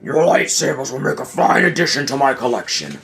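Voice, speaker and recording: An evil alien turtle warlord acted out by a man for a comic audio play. He stretches his words with menace and swings widely in pitch as he commands and threatens. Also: sing-song, creaky, a bit bright. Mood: sneering. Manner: drawn-out, menacing delivery. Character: sinister villainous male alien turtle general, commanding and furious.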